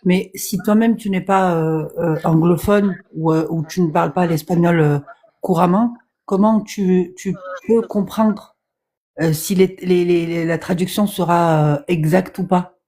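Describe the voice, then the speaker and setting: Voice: mid-range voice, steady tone, measured pace, a little mellow. A guest on a live stream patiently explains a point, with many fillers and repeated words. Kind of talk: casual debate on a live stream.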